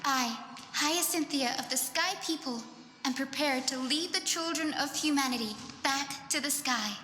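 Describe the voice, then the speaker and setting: An actress playing a devout, resolute young priestess heroine in a video game, speaking in a ceremonial, declarative way. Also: female voice, sits high, normal speed, bright, forceful tone. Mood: solemn, resolute. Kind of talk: scripted video game dialogue. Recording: echoey hall reverb, music underneath.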